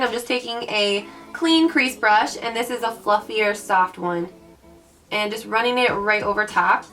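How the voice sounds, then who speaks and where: A young woman with a raspy voice from recent illness, chatting bubbly through a makeup tutorial and calling her viewers guys. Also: fairly high pitch. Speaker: beauty vlogger. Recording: mic at a distance, soft background music.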